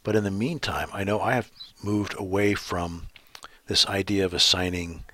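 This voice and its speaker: A middle-aged man giving an educational talk, thoughtful and pausing often with ums and uhs. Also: low-pitched, fairly bright tone, dry recording. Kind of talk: presentation.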